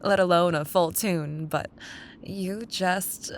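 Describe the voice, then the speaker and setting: A woman playing a caring, wistful young childhood best friend in an intimate, gently teasing ASMR roleplay. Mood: giggly nostalgia. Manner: tender, unhurried, teasing. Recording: audible low rumbling background noise.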